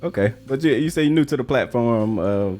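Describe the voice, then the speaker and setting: A man interviewing in a casual, informal chat, putting his questions haltingly with short affirmations. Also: mid-range voice, expressive, a little mellow, soft background music.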